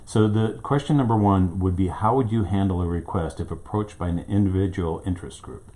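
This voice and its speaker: Low-voiced, hesitant man asking questions. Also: a little fast, warm tone. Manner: hesitant, rambling with many fillers. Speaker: local TV station owner and host. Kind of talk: casual interviewing. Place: his small studio.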